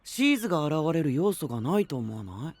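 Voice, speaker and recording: A man's voice: fairly high pitch, sing-song, brisk, no echo. An actor playing a cool, frank and perceptive young man with a casual manner in an anime audio drama.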